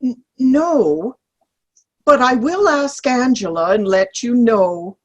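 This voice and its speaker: Husky, slow, gentle older friend woman acting. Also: on the high side, lively intonation. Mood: conciliatory. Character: mild, peacemaking older woman, sweet family-minded friend. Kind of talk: amateur play reading. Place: online video-call play reading.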